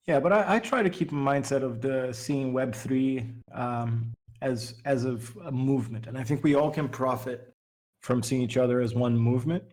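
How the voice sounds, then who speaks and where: A man's voice: medium pitch, normal speed, a little mellow. A man who grew up speaking Portuguese, chatting casually as he leads a group voice call. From Brazil. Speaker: participant leading an open-stage livestream. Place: remote voice call.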